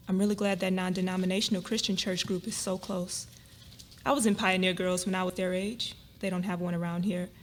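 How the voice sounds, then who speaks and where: A woman's voice: mid-range voice, slight background noise, close to an everyday voice. A woman playing an affectionate middle-aged wife and mother, chatty and easygoing, in a dramatic reading.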